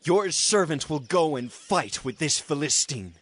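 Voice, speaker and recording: An actor playing a bold, defiant young shepherd of strong faith in an earnest, declamatory audio scripture drama. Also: male voice, fairly high pitch, expressive, measured pace, fairly bright tone. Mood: bold, assured.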